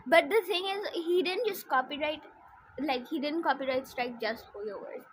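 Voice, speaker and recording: A woman's voice: high voice, normal speed, a little hiss or hum, low-quality recording. A woman chatting casually on a game livestream in a blunt, opinionated, slangy way. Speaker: streamer playing a game. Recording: music playing behind the voice. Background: game music.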